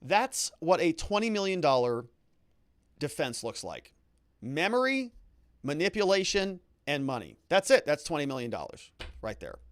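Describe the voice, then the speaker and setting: A man with a slightly high voice delivers legal commentary as a show host. His pitch swings widely as he stresses key words theatrically, and the sound is dry and close. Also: very animated, normal speed, dry recording. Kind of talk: trial recap commentary, reading quotes aloud.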